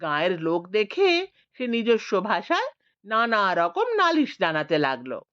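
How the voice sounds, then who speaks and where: A woman narrating an audiobook story in a slow, expressive reading. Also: medium pitch, no echo. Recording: dull lo-fi dry recording.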